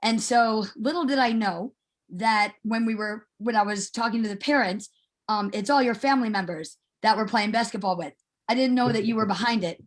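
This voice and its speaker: A woman's voice: on the high side, normal speed. A middle-aged woman asking a question at an online meeting, informal and chatty, circling toward her point.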